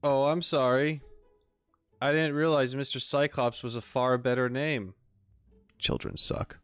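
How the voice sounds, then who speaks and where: A man's voice: mid-range voice, fairly even intonation, a little slow, clean tone, dry recording. A male gaming streamer talks casually over music, with a dry, sarcastic manner. He takes his time and sits close to the mic in a dry-sounding space. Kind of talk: casual let's-play commentary.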